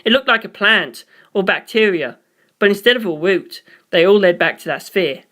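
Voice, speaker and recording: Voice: medium pitch, lively intonation, moderate pace, fairly bright tone. A narrator reading a scripted story aloud. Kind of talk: scripted narration of fan fiction.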